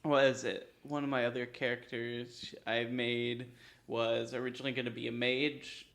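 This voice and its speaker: A man's voice: mid-range voice, fairly bright tone. Meandering man in informal podcast chat. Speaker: podcast co-host. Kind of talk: casual chat about tabletop role-playing.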